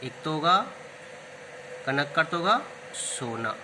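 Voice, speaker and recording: Male teacher, patient and repetitive, fairly high voice, air conditioner noise in the room. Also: very animated, slow. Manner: explains step by step, repeats key terms. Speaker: Hindi literature teacher. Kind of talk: classroom-style explanation of word meanings.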